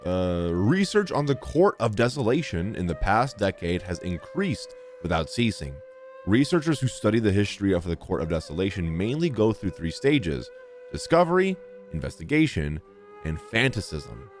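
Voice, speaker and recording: Low-voiced, animated male game streamer. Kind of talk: reading game text aloud and reacting. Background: game music.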